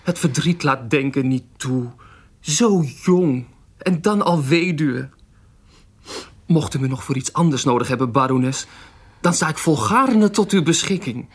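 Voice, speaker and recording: Gullible town magistrate, very husky, courtly, fussy, self-important, period audio drama. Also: male voice, on the high side, expressive, measured pace. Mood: self-important, flattering. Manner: courtly, fussy, repeats himself.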